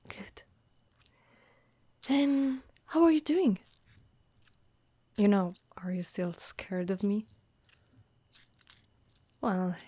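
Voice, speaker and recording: A woman voicing a gentle, protective undead warrior woman in an ASMR monster roleplay, speaking softly and reassuringly close to the mic. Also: medium pitch, dry recording. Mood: gentle, concerned. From Italy. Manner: soft, caring, reassuring.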